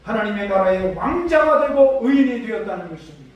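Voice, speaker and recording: A man's voice: high voice, expressive, brisk, lo-fi sound. A man preaching a Sunday sermon as a pastor, exhorting with emphasis. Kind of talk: Sunday sermon with scripture readings. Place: large church sanctuary during a service. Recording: echoey church hall, far from mic.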